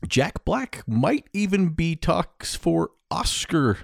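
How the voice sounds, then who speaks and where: A man's voice: on the high side, very animated, slow, vocal fry, no echo, studio quality. A man co-hosting a podcast, talking casually in an informal, conversational manner. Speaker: podcast co-host.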